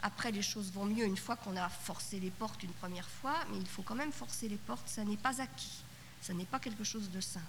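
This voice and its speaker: A middle-aged woman, first language Yiddish, thinks aloud at a conference panel, pausing and restarting her phrases. Her voice sits at an ordinary pitch. It comes from an old cassette tape of a hall, with a long reverb tail and a light hiss underneath. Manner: thoughtful, halting, many restarts and fillers. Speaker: panelist at a literature conference roundtable. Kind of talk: spoken reflection at a conference panel.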